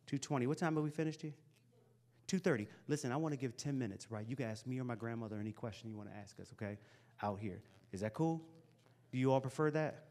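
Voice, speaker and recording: A man's voice: medium pitch, fast. A man in his forties talks to a room of young people, loose and chatty, nudging them to speak up. His voice sits in an ordinary middle register. He is on a handheld mic in a school hall. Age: 40s. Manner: lively, casual and encouraging, chatty asides. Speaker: motivational speaker. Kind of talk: live talk to a youth audience. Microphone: handheld microphone.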